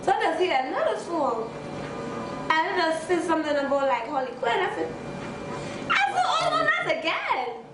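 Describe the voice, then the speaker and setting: Animated Trinidadian woman in an echoey room. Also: extremely high pitch, moderate pace, pauses often. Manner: animated storytelling, laughs often, swears. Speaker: guest musician. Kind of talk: casual interview chat. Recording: echoey room with background noise.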